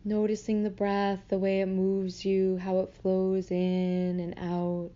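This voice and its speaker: A woman leading a guided meditation in a soothing, gentle manner, unhurried with long pauses, on slightly muffled audio. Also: mid-range voice, monotone, clean tone.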